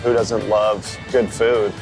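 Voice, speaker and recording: Flat-toned man interviewed over guitar music. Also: fairly low pitch, slow, poor audio. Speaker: baseball player being interviewed. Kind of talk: casual interview answers. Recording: clear guitar music bed under voice.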